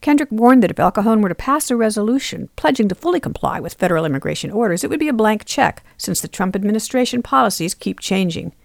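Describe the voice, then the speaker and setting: A woman's voice: mid-range voice, animated, quick pace, vocal fry, hardly any pauses, no room sound. A woman reporter reading scripted news narration.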